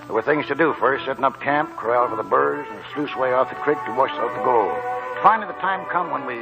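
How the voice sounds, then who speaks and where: An actor playing a wily, cheerful old gold prospector with a gravelly, cackling voice and folksy, chuckling, drawn-out phrasing in a 1940s radio drama. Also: male voice, mid-range voice, loud music behind the voice, natural voice, poor audio. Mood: wry, reflective. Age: older.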